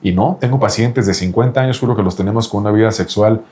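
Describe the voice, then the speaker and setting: Fast-talking low-voiced male doctor. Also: mellow. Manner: explanatory, insistent, often repeats the listener's name. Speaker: doctor specializing in reproductive andrology. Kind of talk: explanatory interview talk with a patient.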